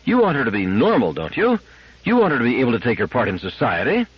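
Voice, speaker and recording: Anxious, insistent housewife and mother, performed by a man; raised pitch, husky edge, lo-fi sound. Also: huge pitch swings, fast, no echo, full character voice. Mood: insistent, worried. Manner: insistent, argumentative. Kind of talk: audiobook character acting. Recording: dull lo-fi radio-like audio.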